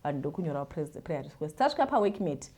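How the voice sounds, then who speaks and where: Fast-talking Zimbabwean woman, low-pitched. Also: husky. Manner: animated retelling with acted-out quotes and repeated words. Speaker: host reading listener confessions and giving advice. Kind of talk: casual storytelling and advice.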